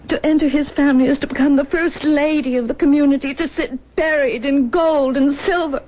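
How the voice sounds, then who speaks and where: An actress playing an anguished, guilt-ridden young bride in an old-time radio drama, pleading with halting, tearful delivery. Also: female voice, high-pitched. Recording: dull lo-fi radio drama recording.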